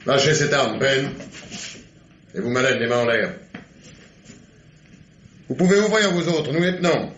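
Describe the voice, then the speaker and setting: An actor playing a stern, sarcastic police inspector in a French radio mystery, commanding and sardonic. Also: male voice, mid-range voice, measured pace, pauses often, a bit bright, natural voice. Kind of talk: French radio detective drama acting. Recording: dull, noisy old radio recording.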